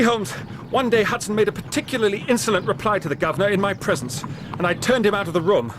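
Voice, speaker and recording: A distraught young English gentleman in a radio play. His upper-class British voice sits high and sounds agitated and well-bred. There is noticeable noise behind him. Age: young adult. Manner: agitated, hesitant, well-spoken. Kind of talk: radio drama acting.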